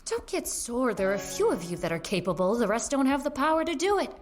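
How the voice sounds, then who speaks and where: A woman's voice: a little high, sing-song, fast, soft background music. An actress playing a weary, irritable woman plagued by ghosts in an audio drama. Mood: weary, wry.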